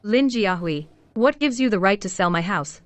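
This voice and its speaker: An actress playing an entitled, spiteful sister-in-law in a dubbed drama, accusing and demanding, quick to threaten. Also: female voice, medium pitch, lively intonation, dry recording, lightly acted.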